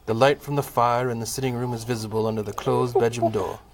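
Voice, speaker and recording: A man narrating a scripted comic audio play in a measured, formal storytelling manner. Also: medium pitch, little pitch movement, background noise.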